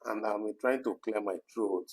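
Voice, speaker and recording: A man's voice: on the low side, fairly even intonation, moderate pace. A man co-hosting a casual live discussion, chatty and full of "you know" fillers, reading out viewer comments. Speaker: co-host of an online talk program.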